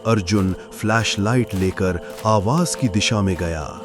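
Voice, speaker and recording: Slow, low-voiced man narrating horror. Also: music in the background. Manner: suspenseful, deliberate. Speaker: narrator of a horror audio story. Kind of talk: dramatic scripted horror narration.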